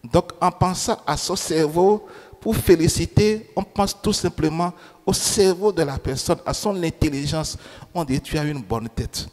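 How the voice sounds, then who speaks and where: A man preaches slowly and deliberately, his voice sitting a little higher than most men's. French is not his first language, and he keeps repeating key phrases and asking the congregation questions. His words ring out with a long reverb in a church. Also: darkish tone. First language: another language than French. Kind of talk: sermon. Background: long hall reverb.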